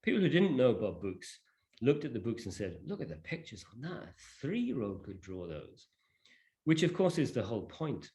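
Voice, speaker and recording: A man's voice: medium pitch, big pitch swings, normal speed, a little mellow. A man giving an informal online presentation and answering questions, explaining in a hesitant, conversational way. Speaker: presenter of an education product.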